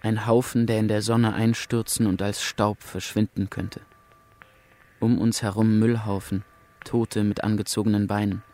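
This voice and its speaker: A man acting a numb, bleak prisoner telling his story in a radio play. The voice is slightly low and very flat in pitch. He speaks in stark, detached fragments. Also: normal speed, close to an everyday voice. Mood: desolate, detached. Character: male deportee, bleakly recounting camp arrival, numb. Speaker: actor narrating in a radio drama. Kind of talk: German radio drama monologue.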